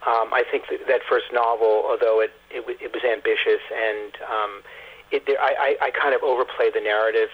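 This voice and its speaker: A man's voice: medium pitch, steady tone, a little fast, breathy edge. A man giving conversational interview answers as a guest over the telephone, often repeating words as he explains. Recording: muffled narrow phone line.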